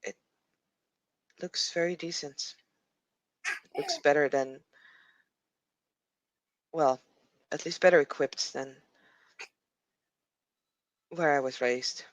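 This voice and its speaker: A Dutch-accented man voicing an earnest female werewolf raised in a quiet pack, speaking in a measured, formal way and pausing to find his words. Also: on the high side, no room sound. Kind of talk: in-character roleplay.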